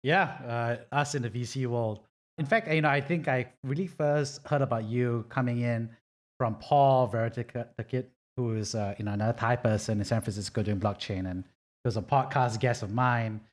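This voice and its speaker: A male podcast host with a mid-range voice talks in a loose, animated way. He fills his sentences with casual asides as he steers the conversation. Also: medium pitch, moderate pace. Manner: chatty, animated, many fillers like "you know". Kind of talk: casual interview hosting.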